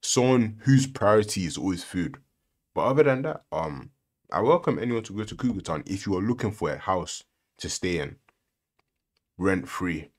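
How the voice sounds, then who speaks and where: Deep guy doing mocking reaction commentary, preachy and rhetorical. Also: animated, moderate pace. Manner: sarcastic, rhetorical, lecturing. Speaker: reaction video host.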